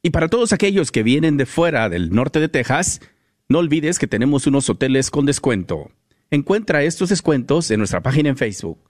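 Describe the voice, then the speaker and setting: Male radio announcer, brisk ad read, mid-pitched voice, dry studio sound. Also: very animated, no room sound. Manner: brisk promotional delivery.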